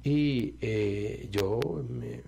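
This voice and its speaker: A man's voice: medium pitch, extremely slow, vocal fry, warm tone, slight background noise. A man with a Colombian Caribbean coast accent, a presidential candidate answering interview questions in a confident, combative and emphatic way.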